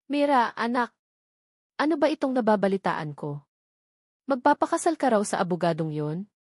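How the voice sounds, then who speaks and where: A woman's voice: mid-range voice, very animated, normal speed, darkish tone, no echo, clean studio sound. A woman voicing a worried, caring older aunt in an audio love drama, motherly and pleading.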